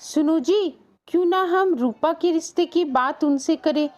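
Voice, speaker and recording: A woman's voice: very high voice, a little slow. A woman voicing a female character in a cartoon horror family drama. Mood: coaxing.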